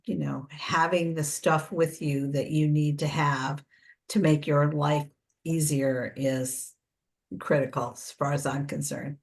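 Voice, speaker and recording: A woman's voice: low voice, steady tone, slow, vocal fry. An older woman with an American accent, explaining things conversationally as a preparedness coach in an informal workshop and often correcting herself. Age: 60s or older.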